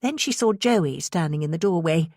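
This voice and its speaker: A woman's voice: low voice, lively intonation, normal speed, darkish tone, no echo, clean studio sound. A woman reading scripted suspense fiction as an audiobook narrator.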